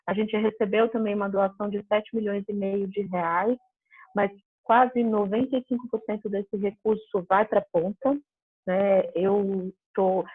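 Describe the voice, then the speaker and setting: A middle-aged woman talks briskly on a video call and ticks off her points one by one. Her voice is husky. The line is tinny and phone-like, with a dry, close sound. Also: medium pitch, no room sound. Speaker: founder of an entrepreneurs network. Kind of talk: panel discussion.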